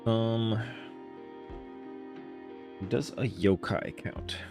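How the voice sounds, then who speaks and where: A man with a low voice streams his playthrough. He comments casually and slangily in a laid-back manner, with game music clearly audible behind him. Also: extremely slow.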